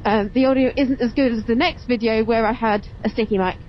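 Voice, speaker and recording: Woman, higher voice, chatty explainer, muffled helmet intercom mic, casual vlog style. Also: fairly even intonation, fairly quick. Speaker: motovlog host. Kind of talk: casual vlog chat while riding. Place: on a motorbike on open roads. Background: engine and road noise.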